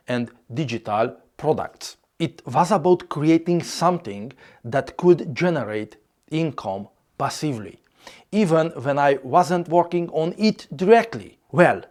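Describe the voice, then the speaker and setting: Slow-speaking man with a Hungarian accent. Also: fairly high pitch, darkish tone. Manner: measured, slightly non-native phrasing. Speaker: YouTuber. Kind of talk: scripted vlog narration.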